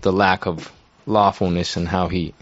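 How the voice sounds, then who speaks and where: Low-voiced man recounting with uncertainty. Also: level tone, normal speed, no echo. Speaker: church member sharing. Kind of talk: unscripted church discussion. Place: room with a group. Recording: dull lo-fi sound.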